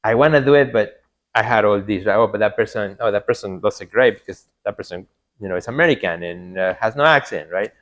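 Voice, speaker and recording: A man's voice: lowish voice, quick pace. A man from Mexico who speaks English with a Mexican Spanish accent, his first language being Spanish, talking earnestly in a casual podcast interview and often repeating words and adding "you know". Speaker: podcast guest, artist coach.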